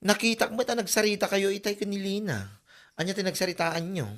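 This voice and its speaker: A woman's voice: medium pitch, fairly quick, natural voice. A woman with an Ilocano accent playing a curious woman who dreams of becoming a beauty queen. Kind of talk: comedy drama acting.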